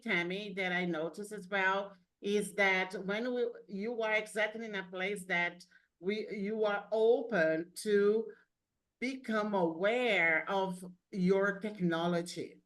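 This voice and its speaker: Woman hosting an interview, non-native english speaker, talks real slow and thoughtful. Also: mid-range voice, sharp tone. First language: not English. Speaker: host. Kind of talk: interview, spiritual coaching talk.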